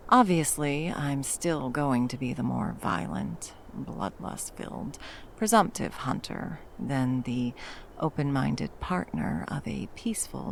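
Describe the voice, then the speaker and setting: A woman's voice: rumbling low, vocal fry, slight background noise, exaggerated voice. A woman playing a battle-hardened monster hunter, violent yet a tender protective lover, in an intimate ASMR roleplay. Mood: reflective, rueful. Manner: intimate, sweary, teasing and soothing endearments. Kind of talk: ASMR roleplay, intimate scripted monologue addressed to the listener.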